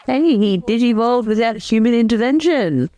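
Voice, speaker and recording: A woman's voice: fairly high pitch, expressive, moderate pace, dark tone. A woman co-hosting casual creator commentary in a playful, wry way, close on the mic. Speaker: commentary co-host, voice actor.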